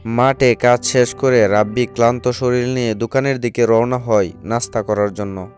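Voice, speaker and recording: Man, scripted story narration, cartoon storybook style, mid-pitched, faint guitar music behind. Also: fairly even intonation, moderate pace, darkish tone.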